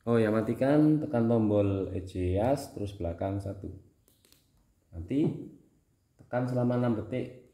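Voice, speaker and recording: A man's voice: lowish voice, expressive, unhurried, long pauses, dark tone. A man with a Javanese Indonesian accent, giving a casual how-to demonstration as a tutorial host. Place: indoors at home, small room.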